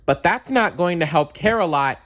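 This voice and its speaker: A man's voice: a little high, expressive, normal speed, a bit bright, lo-fi sound, voice pushed up. A man voicing an eager, friendly young female cartoon bear in an earnest, sing-song storybook manner. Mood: eager, hopeful.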